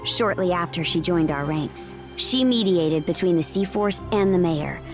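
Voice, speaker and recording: An actress playing a proud, blunt warrior princess of seafaring pirates in a video game, telling things in a commanding, matter-of-fact way. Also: female voice, fairly low pitch, a little slow, soft background music, close to an everyday voice. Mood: stern, explanatory. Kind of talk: dramatic video game voice acting.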